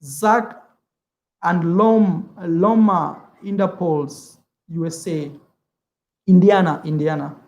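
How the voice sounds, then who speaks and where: A man's voice: high-pitched, takes it slowly, a little mellow, roomy sound, poor audio. A young man from East Africa whose first language is Swahili, chatting casually on a travel livestream, rambling, repeating words and calling the viewer "man". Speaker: travel vlogger.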